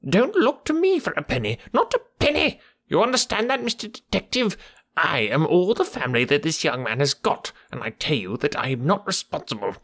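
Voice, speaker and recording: A man voicing a querulous, twitchy, stingy little old man with a sharp crackling voice in an audiobook. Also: big pitch swings, fairly quick, dry recording, studio quality, full character voice. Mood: shrill scolding. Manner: shrill, scolding, demanding. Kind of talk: audiobook character voice.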